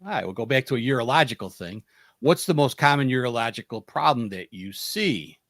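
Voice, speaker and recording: A man's voice: medium pitch, expressive, a little fast, fairly bright tone, no room sound, clean studio sound. A man hosting a panel discussion in a casual, conversational style. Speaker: host, moderator.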